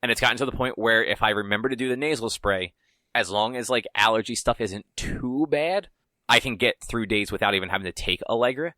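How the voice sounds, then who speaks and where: A man's voice: mid-range voice, brisk, sharp tone, no room sound. A man co-hosting a podcast, talking in a laid-back, rambling way and swearing casually. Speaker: podcast co-host. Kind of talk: casual chat.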